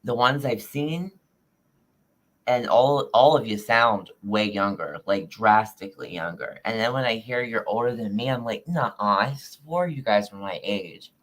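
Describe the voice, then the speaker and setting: A panel guest chats easily on a live stream, handing out compliments. The voice sits at an ordinary middle pitch. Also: unhurried, a bit bright. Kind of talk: casual chat on a live stream.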